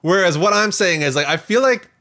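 A man co-hosting a podcast, chatting casually in an animated, playful way. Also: high-pitched, a little fast. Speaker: podcast co-host.

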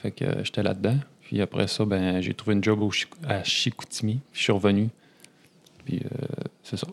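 A man in his thirties with a Saguenay Quebec French accent, hosting a podcast in a relaxed, halting chat full of fillers. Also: on the low side, creaky. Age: 30s. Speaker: podcast host. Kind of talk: casual interview chat.

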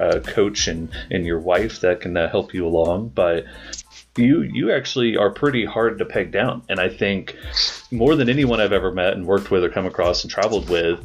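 A man with a very deep voice hosts a relaxed interview. He speaks haltingly, with plenty of "uh" and repeated words. Soft music sits faintly behind him. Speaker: podcast host. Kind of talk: casual interview.

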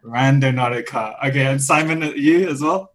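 A man hosting a podcast remotely from London, gushing and excitable, his voice slightly croaky after a coughing fit. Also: medium pitch, moderate pace. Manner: excitable, gushing, laughs often. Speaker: podcast host. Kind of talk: enthusiastic podcast interviewing. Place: home studio in London. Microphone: remote recording.

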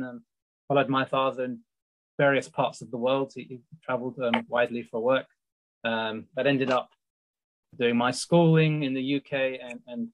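Academic man born in Sudan, halting delivery, dry close recording. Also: mid-range voice, no echo, rough recording. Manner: halting, many fillers and repeats. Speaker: law research fellow, panel guest. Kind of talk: panel discussion.

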